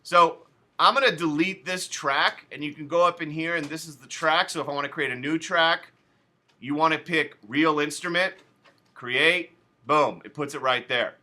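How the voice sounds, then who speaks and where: Male teacher, very bright, slightly high voice, casual step-by-step tutorial delivery. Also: moderate pace. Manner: chatty, loose asides, talks students through each step. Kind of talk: casual classroom software tutorial.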